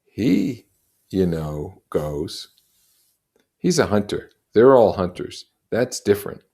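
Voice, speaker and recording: A reader doing earnest schoolboys, pitched up. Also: very animated, takes it slowly, dark tone, slightly rough, full character voice. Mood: defensive. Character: reluctant schoolboys in a storybook, pleading earnestly.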